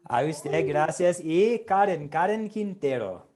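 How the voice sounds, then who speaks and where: A man leads an online Bible class as its pastor and teacher. He greets each student by name with courtesy, at a slow and measured pace. His voice sits a little high. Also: expressive, darkish tone, slight background noise. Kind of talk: hosting an online class roll call.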